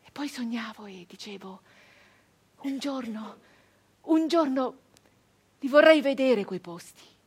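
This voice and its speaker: An actress performing a theatrical radio monologue as a wistful, dreamy peasant woman recalling her girlhood, with halting repetitions and a breathy delivery that moves between whispers and fervour. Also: a little high, expressive, darkish tone, exaggerated voice. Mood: dreamy, yearning. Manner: halting repetitions, dropping into breathy whispers and swelling to fervent cries. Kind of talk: theatrical radio monologue, dramatic first-person storytelling.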